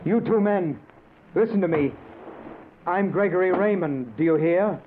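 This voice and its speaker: A man's voice: high-pitched, expressive, a little slow, noisy background. An actor playing an anguished, guilty man convinced he is dead, pleading melodramatically in a 1940s radio drama. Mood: desperate pleading. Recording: muffled old radio recording with music.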